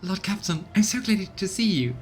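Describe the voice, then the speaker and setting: A man's voice: high-pitched, animated, moderate pace, soft background music, pitched above the performer's own voice. A man voicing an anxious, devoted female officer in a video game, earnest and worried. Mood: flustered relief.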